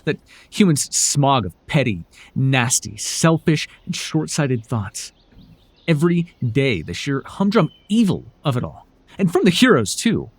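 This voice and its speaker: A man playing a proud, sardonic telepathic supervillain with hidden tenderness in an ASMR roleplay, theatrical and condescending with a husky voice. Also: medium pitch, very animated, unhurried, no echo. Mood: indignant, grandiose. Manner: theatrical, grandiose, flustered, dryly condescending.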